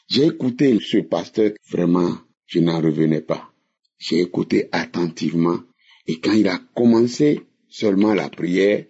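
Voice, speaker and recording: A man in his sixties from Benin, giving a spoken testimony in a slow, emphatic way that repeats phrases. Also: deep voice, gravelly, warm tone, breathy edge, dull audio. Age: 60s. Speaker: testimony caller.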